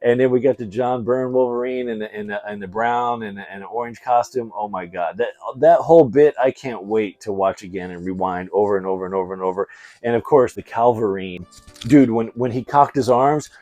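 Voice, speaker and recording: A man's voice: fairly low pitch, a little fast, mellow, no echo, lo-fi sound. A middle-aged man hosting a casual movie review, talking in a slangy, effusive and emphatic fan's way. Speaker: video host, movie reviewer.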